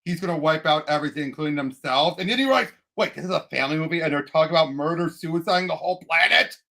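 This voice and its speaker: A man co-hosting a casual movie discussion, excitable and animated as he retells and acts out quotes. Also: a little high, fast, vocal fry. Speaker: movie podcast co-host.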